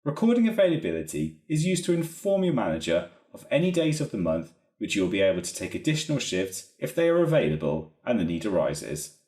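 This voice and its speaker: The recording is roomy and echoey. A man with a fairly low voice narrates an instructional walkthrough. He explains each step methodically, with lively, varied intonation. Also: fairly quick, hardly any pauses. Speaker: software trainer.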